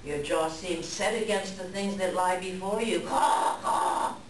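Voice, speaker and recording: A woman playing an excitable, questioning raven who punctuates speech with croaking caws in a dramatic staged reading. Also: on the low side, a little slow, lightly acted. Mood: concerned, solemn. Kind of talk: dramatic reader's theater performance. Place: live staged reading in a library room. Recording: roomy, distant live recording, faint noise.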